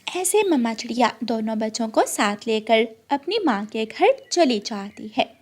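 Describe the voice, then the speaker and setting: A woman with a very high voice narrates a children's story. She reads the script at a slow pace, as a storyteller. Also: expressive.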